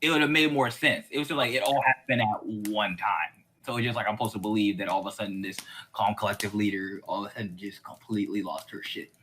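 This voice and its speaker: A man in a casual, opinionated chat as host, talking in an animated, exasperated way and slipping into mocking quotes. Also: fairly low pitch, quick pace, slight background noise, poor audio. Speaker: host of a fan discussion series.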